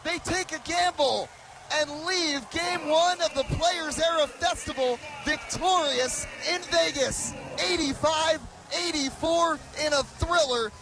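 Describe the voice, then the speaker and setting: A man in his twenties doing live radio play-by-play of basketball, with excitable, dramatic calls that rise into shouting. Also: very high voice, slow pace, low-quality recording. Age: 20s. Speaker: play-by-play commentator. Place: basketball arena.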